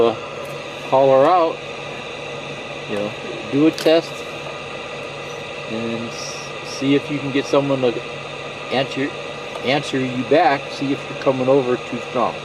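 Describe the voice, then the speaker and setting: Slow-talking man explaining gear, noisy background. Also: mid-range voice. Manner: plain-spoken, conversational. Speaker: product demonstrator. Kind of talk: casual how-to explanation.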